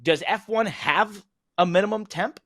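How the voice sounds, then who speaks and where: A man's voice: high voice, normal speed, a bit bright, no echo, studio quality. An American man hosting a casual talk show, discussing and putting questions to a guest.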